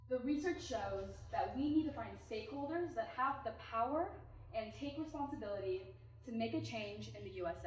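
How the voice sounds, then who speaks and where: A woman from California gives a prepared pitch presentation. She addresses the audience directly and engagingly. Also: slight background noise. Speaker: team presenter. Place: presentation room. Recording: echoey room, mic far off.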